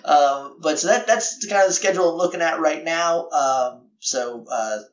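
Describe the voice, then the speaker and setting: A man's voice: a little high, normal speed. Man, podcast host, slightly scratchy voice, rambling, self-correcting, roomy and a bit dull recording. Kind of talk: casual announcements.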